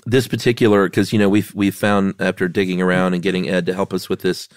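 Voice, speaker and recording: Man, podcast co-host, stuffy head cold, low voice, easygoing, dry sound. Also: no echo. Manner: easygoing, self-deprecating. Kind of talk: casual chat.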